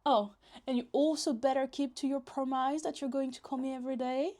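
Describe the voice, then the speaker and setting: High-voiced possessive yandere young woman, acted by a woman. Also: steady tone, brisk, darkish tone, lightly acted. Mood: affectionate, clingy. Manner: teasing, pouty, dramatic, casual swearing. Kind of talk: roleplay ASMR, conversational acting.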